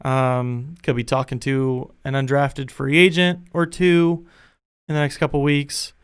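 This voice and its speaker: A young man hosting a casual sports podcast from his home studio, chatty and energetic, his voice a bit worn. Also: medium pitch, unhurried, smooth. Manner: energetic, chatty, thinking aloud. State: losing his voice. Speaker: podcast host.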